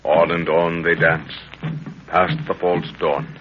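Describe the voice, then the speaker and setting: Deep, portentous radio narrator. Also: male voice, level tone. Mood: solemn, ominous. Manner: portentous, deliberate, theatrical. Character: solemn, dramatic narrator of jungle adventure serial. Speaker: announcer and narrator. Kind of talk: 1930s radio scripted narration. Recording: muffled, noisy 1930s radio recording.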